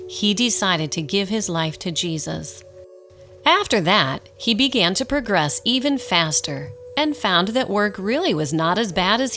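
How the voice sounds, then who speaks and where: A woman acting as the narrator of a radio drama, telling a scripted story in a slightly formal, expressive storybook style. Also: mid-range voice. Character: storybook narrator, wry and unhurried.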